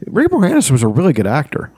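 A man co-hosting a casual podcast, blunt and profane, tossing in quick reactions and asides. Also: a little high, wildly swooping pitch, mellow, breathy edge, no room sound. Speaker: podcast co-host.

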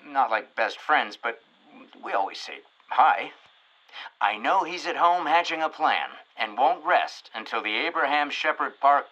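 An actor playing a dramatic, chatty radio show announcer who narrates theatrically with comic asides. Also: male voice, mid-range voice, bright, forceful tone, muffled recording. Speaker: narrator and host.

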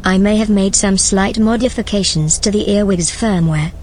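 A smug, breezy female AI gone rogue, voiced by a woman, speaks in a wry, casual way at a middle pitch. It is scripted audio drama acting, with a little background noise. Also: moderate pace, darkish tone, lightly acted.

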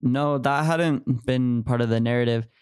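A man's voice: medium pitch, unhurried, darkish tone, clear, resonant tone, no room sound, clean studio sound. A man in his early twenties telling personal stories as a guest in a podcast interview. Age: early 20s. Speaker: podcast guest, returned missionary.

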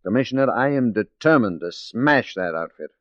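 An actor playing a resolute, righteous detective in a 1940s radio drama, speaking in a measured, emphatic, theatrical way. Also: male voice, medium pitch, animated, no echo, close to an everyday voice. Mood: resolute, grave. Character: resolute, righteous male detective working undercover as a secretary. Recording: muffled 1940s radio recording.

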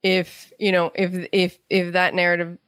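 A woman's voice: lowish voice, completely flat delivery, moderate pace, fairly bright tone, no room sound. A middle-aged woman, an author being interviewed, telling stories in a conversational way. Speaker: guest author and playwright.

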